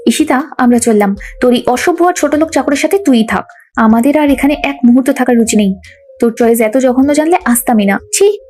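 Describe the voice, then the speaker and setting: A woman's voice: sits high, brisk, a little mellow, lightly acted. A woman playing a snobbish, status-obsessed young rich friend in an audio story, disdainful and indignant. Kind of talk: Bengali audio story acting. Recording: background music under the voice.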